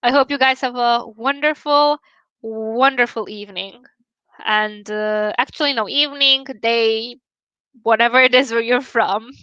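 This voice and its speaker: A woman's voice: fairly high pitch, fairly even intonation, a little slow, pushed and bright, smooth, no echo, highs cut off. A young woman from Romania with a Romanian accent, chatting candidly with her livestream viewers and swearing casually. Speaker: livestream host.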